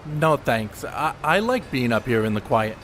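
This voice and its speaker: A man on stage playing a thoughtful, college-educated 1930s ballplayer who speaks in precise, poetic phrases with quiet self-assurance. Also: medium pitch, sing-song, normal speed, a little hiss or hum. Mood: measured. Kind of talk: amateur stage play acting.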